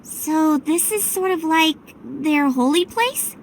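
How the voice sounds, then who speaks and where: A woman voicing a small, childlike female companion in a video game, earnest and outspoken, with emphatic, expressive delivery. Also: high-pitched, slow, a little hiss or hum, exaggerated voice, rough recording. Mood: confused. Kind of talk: dramatic video game cutscene acting.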